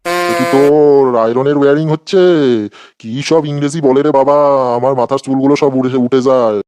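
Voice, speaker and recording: A man's voice: medium pitch, steady tone, brisk, background noise, lightly acted. A man voicing a sarcastic, exasperated male schoolteacher who scolds and mocks his pupils in a comedy cartoon. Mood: mocking, scolding. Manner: scolding, mocking, repeats phrases. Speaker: English teacher in a comedy cartoon.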